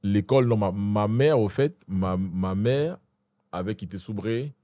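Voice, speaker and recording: A man's voice: on the low side, unhurried, no echo, clean studio sound. A young adult man with an Ivorian French accent, a guest talking animatedly in a casual interview, often restarting and checking in with the listener. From Ivory Coast. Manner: animated, repeats and restarts, addresses listener with "tu vois". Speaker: guest, Muay Thai champion.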